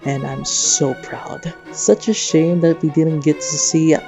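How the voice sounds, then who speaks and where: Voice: medium pitch, steady tone, slow, vocal fry, dark tone, low-quality recording. A young adult narrating a personal, sentimental video essay about a game in an earnest way. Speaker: video creator and host. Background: guitar music.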